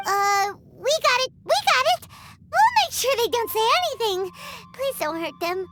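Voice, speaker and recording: A woman voicing a small, eager, loyal childlike fairy companion in a video game, squeaky and bubbly. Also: big pitch swings, moderate pace, fairly bright tone, slight background noise, full character voice. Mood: alarmed. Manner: bubbly, speaks for the group as "we". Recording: music under the voice.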